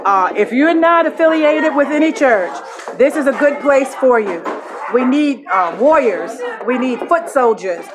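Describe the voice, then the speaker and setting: A woman's voice: high-pitched, expressive, measured pace, noisy background. Lady pastor blessing folks at a church hall, laughs a bit, crowd chatter. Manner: warm, preaching, laughs between phrases. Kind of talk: short blessing to viewers.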